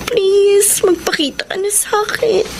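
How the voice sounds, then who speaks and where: A woman's voice: extremely high pitch, a little slow, vocal fry, noisy background, heavily acted. An actress playing an anxious, suspicious woman in a Filipino radio drama. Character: anxious, suspicious woman searching for her missing lover. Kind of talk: Filipino radio drama acting with whispered inner monologue.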